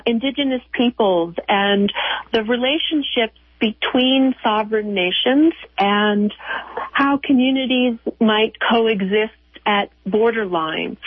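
A woman speaking as a curator in an interview, explaining in a measured, halting way over a phone line. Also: a little high, breathy edge, no room sound. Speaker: museum chief curator, interview guest. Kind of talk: unscripted explanatory interview answers. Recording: narrow tinny phone line.

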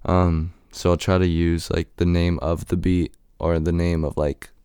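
Young man, very deep, gravelly fry, smooth resonant tone, halting speech, dry sound. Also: level tone, mellow, no echo. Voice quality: raspy and deep right now. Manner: halting, many "um" and "like" fillers. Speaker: rapper. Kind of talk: casual interview answers.

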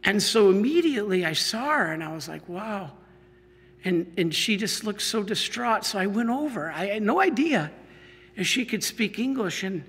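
A priest preaching in a church, his high, bright voice carrying a breathy edge. He talks slowly and deliberately, stumbling over restarts before pressing his points. A long reverb from the hall trails after every phrase. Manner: slow storytelling, halting restarts, rising to emphatic teaching. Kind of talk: sermon with a personal story.

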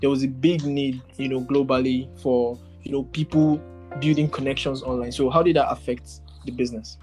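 A man's voice: medium pitch, little pitch movement, moderate pace, a little mellow, faint music behind. A man interviewing a guest in a conversational way, phrasing questions tentatively with plenty of you-knows.

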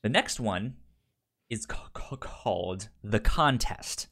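A man co-hosting a podcast in casual, unscripted chat, with a stutter that repeats first syllables and a halting, explanatory way of talking. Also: on the low side, animated, fairly bright tone. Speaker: podcast co-host.

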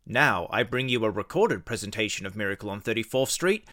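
A man's voice: fairly low pitch, animated, brisk, pushed and bright. A man hosting and narrating a radio play in a scripted storytelling style. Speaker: host and narrator. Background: music.

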